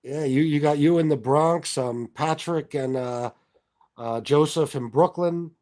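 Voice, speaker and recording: Laid back 40s guy hosting a chat show, kinda high voice. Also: unhurried. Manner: relaxed, agreeable, reading viewer questions. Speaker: host. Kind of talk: casual interview chat.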